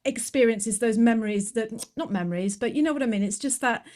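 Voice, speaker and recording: A woman hosting a relaxed podcast interview, her voice sitting a little high. She talks in a chatty, reflective way, thinking aloud and restarting her sentences as she goes. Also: fairly quick. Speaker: podcast host. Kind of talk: conversational interview.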